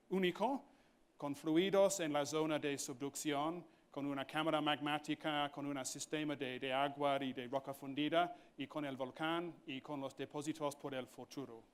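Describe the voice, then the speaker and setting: Conferencista con inglés nativo, tono algo agudo. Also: male voice, on the high side, smooth. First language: English. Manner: halting, slips into English fillers like "so" and "point". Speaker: conference speaker, geophysicist. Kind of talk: conference lecture in non-native Spanish. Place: conference hall.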